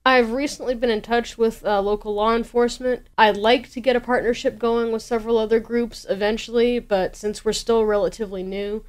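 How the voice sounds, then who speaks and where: A woman's voice: fairly high pitch, steady tone, brisk, few pauses. Earnest woman explaining a cause. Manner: earnest, emphatic. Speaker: interview guest, anti-bullying campaigner. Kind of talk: interview answers, explanatory.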